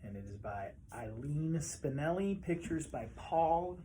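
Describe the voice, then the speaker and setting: A man reading a children's picture book aloud as a storyteller, expressively, with pauses and emphasis. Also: a little high, expressive, mellow, a little hiss or hum.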